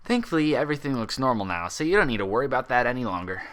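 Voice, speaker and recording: A man's voice: mid-range voice, sing-song, rapid-fire, a bit bright. A man voicing a kindly, casual pony doctor with a reassuring bedside manner in a story reading. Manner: easygoing, reassuring bedside chatter.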